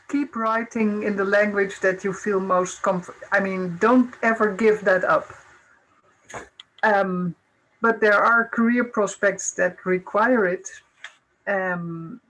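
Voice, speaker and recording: A woman's voice: medium pitch, a bit bright, poor audio. An older woman with a Dutch accent giving plain, direct advice on a video call from a home study. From Netherlands.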